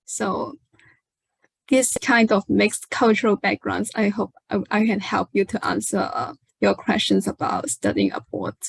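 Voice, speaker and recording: A young woman from Hong Kong introducing herself as a PhD student on an online panel, speaking carefully with some halting. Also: medium pitch, lively intonation, gravelly, slightly rough, dry recording, rough recording.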